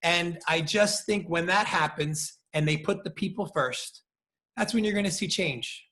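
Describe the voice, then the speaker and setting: A middle-aged American man, a salon owner, talking in an animated, emphatic way as an interview guest over a video call. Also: on the high side, measured pace. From United States. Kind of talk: casual interview chat.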